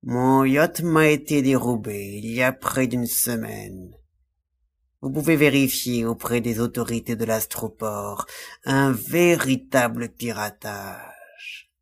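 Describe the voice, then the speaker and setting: A man acting a suave, courteous and evasive count in an audio drama, speaking French in a formal, measured way. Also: medium pitch, gravelly. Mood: coolly regretful.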